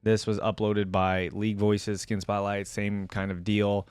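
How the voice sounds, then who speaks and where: A man's voice: fairly low pitch, level tone, a little slow, darkish tone, smooth, no echo. A man giving informal reaction commentary as host, thinking aloud in a casual way.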